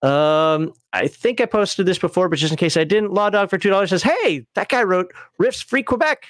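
A man's voice: sits high, animated, moderate pace, no echo, clean studio sound. A middle-aged man hosting a casual livestream from home over a video call, reading chat messages and laughing often. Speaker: livestream host.

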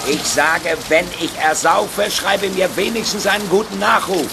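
An actor playing a grumpy, sarcastic reporter who grumbles and swears in a German radio play. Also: male voice, high voice, unhurried, vocal fry, background noise. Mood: sarcastic, grumbling. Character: grumpy, sarcastic male newspaper reporter, tired and bantering.

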